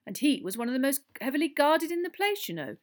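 A pompous, middle-aged man of government played by a woman narrator in a storybook. He speaks gravely and officiously in a measured, fairly high voice. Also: big pitch swings.

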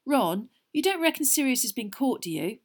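A woman's voice: fairly high pitch, very animated, normal speed, voice pushed up. A woman voicing a worried, earnest teenage boy in a storybook reading.

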